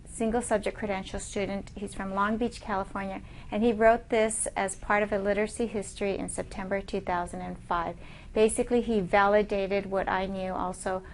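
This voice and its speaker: Female professor, mid-pitched, narrating slides in a steady teaching voice, faint hiss behind. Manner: reads slides aloud, explanatory, measured. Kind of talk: recorded lecture narration over slides.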